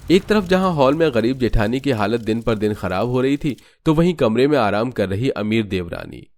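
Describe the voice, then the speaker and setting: A man narrating an animated moral story in a scripted storytelling style. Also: mid-range voice, moderate pace.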